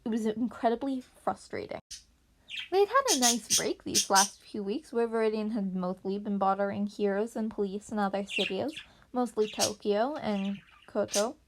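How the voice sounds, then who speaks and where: A woman's voice: medium pitch, normal speed. A woman reading fan fiction narration aloud as an amateur podfic reader. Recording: noisy amateur recording with birds chirping.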